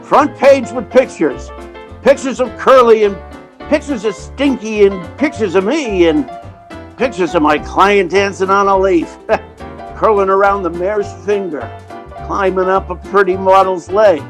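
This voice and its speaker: Man playing a high-voiced, slick radio-play talent agent. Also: unhurried, faint music behind. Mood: excited, boastful. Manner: slick hustler, chatty storyteller. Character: fast-talking, scheming male theatrical agent narrating his story. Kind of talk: old-time radio play narration and dialogue.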